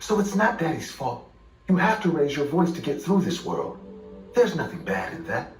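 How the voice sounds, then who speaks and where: A man's voice: on the high side, normal speed, soft background music, voice pushed up. A man voicing a creepy, menacing father in a dramatised horror story, swinging between falsely sweet coaxing and angry outbursts. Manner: switches between screaming and creepy calm coaxing. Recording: echoey room, away from the mic.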